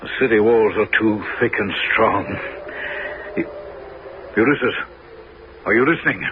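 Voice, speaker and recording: An actor playing a forceful Greek king bent on war in a 1970s radio drama. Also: lowish voice, unhurried, close to an everyday voice. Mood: insistent. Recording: dull, faintly noisy old radio recording.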